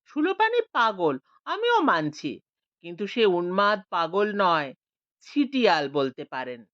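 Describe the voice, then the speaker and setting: Woman doing a quiet, earnest village man. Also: medium pitch, very animated, measured pace, no room sound, muffled recording.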